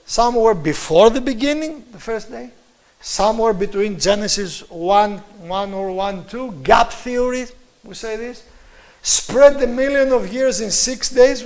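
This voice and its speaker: A middle-aged man with a Greek accent giving a religious lecture on scripture in halting English, emphatically repeating key words. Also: very high voice. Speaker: Bible teacher giving a presentation.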